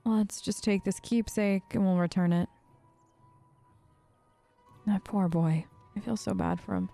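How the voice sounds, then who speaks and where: A woman's voice: medium pitch, steady tone, unhurried, creaky, warm tone, dry recording, faint music behind. A woman hosting a let's play, giving casual, chatty role-play commentary and musing in character.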